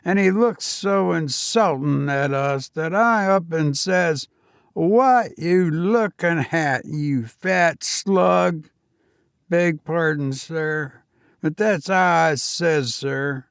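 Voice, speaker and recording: A man voicing a nervous, deferential young Cockney servant with rough working-class speech. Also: fairly high pitch, drawn-out words, dry recording, clean studio sound, pitched above the performer's own voice, full character voice. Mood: indignant.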